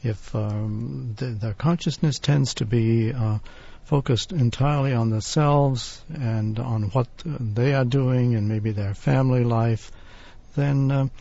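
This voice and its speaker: A man talks very slowly with a gravelly, husky voice, often stopping to hesitate. His tone is dark and mellow, and the recording sounds somewhat dull. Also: mid-range voice. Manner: halting, many ums. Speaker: panel guest. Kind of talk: discussion panel.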